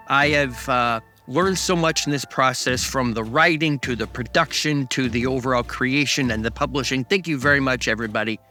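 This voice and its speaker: A man speaking informally as an audio drama's creator, halting and repeating phrases. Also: mid-range voice, sharp tone. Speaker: producer and writer of the audio drama. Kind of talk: informal thank-you message to listeners.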